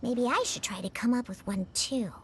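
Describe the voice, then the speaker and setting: A woman's voice: fairly high pitch, normal speed, fairly bright tone, a little hiss or hum, close to an everyday voice. A woman voicing a young, blunt, aloof girl in a video game, speaking matter-of-factly. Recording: music behind the voice.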